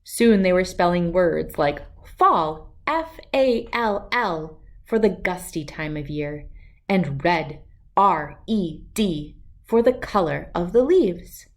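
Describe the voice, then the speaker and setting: A woman narrates a children's storybook. Her delivery is slow and deliberate. The pitch is animated and varied, giving the scripted lines a dramatic, expressive shape. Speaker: storybook reader.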